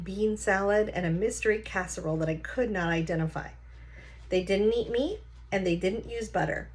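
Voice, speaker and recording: A woman reading a children's novel aloud like a teacher, with short explanatory asides. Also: medium pitch.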